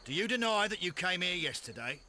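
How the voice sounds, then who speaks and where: An actor playing a brusque, eager Victorian police inspector in a video game, with a British accent and an authoritative, blustering manner. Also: male voice, high voice, big pitch swings, brisk, bright, forceful tone, slight background noise, full character voice. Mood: stern, impatient. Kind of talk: scripted video game acting.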